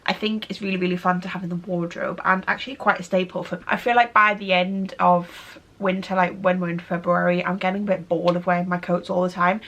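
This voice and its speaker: A woman's voice: on the low side, steady tone, brisk, few pauses, fairly bright tone. A woman in her thirties with a cold, sounding a little nasal and stuffy, chatting casually in a fashion vlog and often doubling up "really, really". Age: 30s. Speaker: fashion vlogger.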